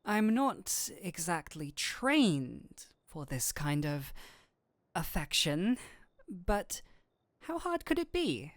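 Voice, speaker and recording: Woman playing a slow-talking, flustered nerdy incubus. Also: fairly low pitch, very animated, no room sound. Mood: reassuring. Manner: theatrical, formal wording, easily flustered. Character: flustered nerdy male incubus demon, courteous and flirtatious. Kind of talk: intimate audio roleplay acting addressed to the listener.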